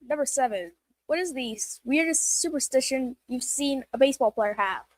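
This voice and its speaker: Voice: high-pitched, animated, normal speed, slight background noise. A junior reporter reading out numbered interview questions.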